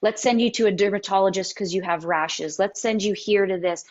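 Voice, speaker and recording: Guest, female, 30s, faintly raspy voice, lively storyteller, dull lo-fi audio. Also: medium pitch. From Canada and the United States. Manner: animated storytelling with quoted voices and halting restarts. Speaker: interview guest, singer-songwriter. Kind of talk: candid interview conversation.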